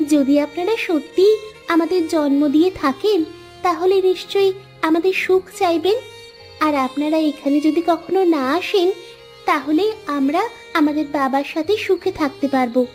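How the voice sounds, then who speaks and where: A woman's voice: very high-pitched, measured pace, a little mellow, faint music behind. A woman voicing an earnest, pleading twelve-year-old village girl, a devoted daughter in a cartoon. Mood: earnest, resolute. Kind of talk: Bengali cartoon voice acting.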